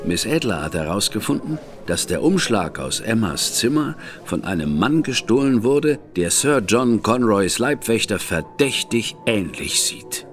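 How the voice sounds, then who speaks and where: A shrewd, wry gentleman strategist. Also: lowish voice, big pitch swings, faint music behind. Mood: measured, wry. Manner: measured, wry. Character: shrewd, composed older brother, gentleman strategist. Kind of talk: German audio drama acting. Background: faint background score.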